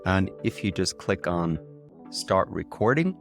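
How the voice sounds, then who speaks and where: An Irish man hosting a casual software tutorial in an enthusiastic way. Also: deep voice, sing-song, a little slow, vocal fry, faint music behind. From Ireland. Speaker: tutorial video host.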